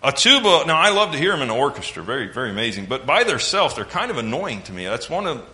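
A man's voice: medium pitch, sing-song, quick pace, bright, forceful tone. A man from the American South with a Southern accent, preaching a sermon with folksy jokes and mimicked sounds.

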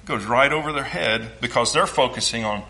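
Bright-voiced Southern preacher. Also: male voice, medium pitch, moderate pace. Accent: Southern American. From American South. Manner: folksy, joking asides, mimics sounds. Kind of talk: sermon.

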